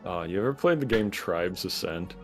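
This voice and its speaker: A man chatting as a podcast guest, animated and profane, breaking into loud laughs, recorded on a home microphone. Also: deep voice, normal speed, faint music behind. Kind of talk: casual podcast chat.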